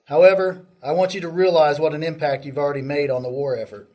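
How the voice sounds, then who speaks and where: Man acting an earnest army commander, higher-pitched voice. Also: fairly even intonation, fast, darkish tone, close to an everyday voice. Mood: rousing, earnest. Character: earnest army commander addressing his troops. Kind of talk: amateur radio drama acting.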